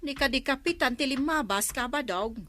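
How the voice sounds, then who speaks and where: A woman's voice: sits high, normal speed, pushed and bright, dry recording, faint music behind. An actress playing a cheeky, gossipy village woman with a taste for gambling in a radio comedy drama. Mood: teasing.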